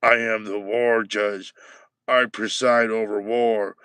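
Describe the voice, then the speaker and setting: A man voicing a pompous, bloodthirsty male alien war judge who shouts grandiose threats. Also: fairly low pitch, little pitch movement, takes it slowly, smooth, dry recording. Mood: menacing boast.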